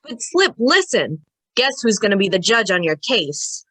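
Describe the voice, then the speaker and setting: A woman's voice: medium pitch, big pitch swings, unhurried, sharp tone, dry recording, exaggerated voice. A woman playing an anxious, loyal gangster sidekick with slangy street talk in an old time radio drama. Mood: urgent.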